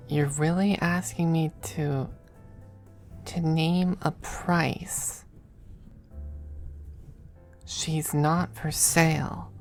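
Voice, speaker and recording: Woman doing a soft demon femboy lover for asmr, possessive, slow n creaky, whispery, faint music behind. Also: deep voice, exaggerated voice. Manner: soft, breathy, unhurried, often dropping to whispers. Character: possessive, doting, androgynous male demon lover, centuries old. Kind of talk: intimate ASMR roleplay.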